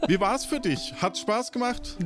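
A man narrating an improvised story as game master, deliberate and given to dramatic pauses. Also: high voice, lively intonation, a bit bright, faint music behind.

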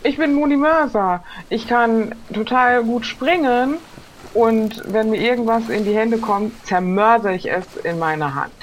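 A woman's voice: a little high, a little slow, a little hiss or hum, lightly acted, low-quality recording. A woman playing a lanky, eager young would-be pirate, earnest and plain-spoken in an improvised role-play. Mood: eager, unsure.

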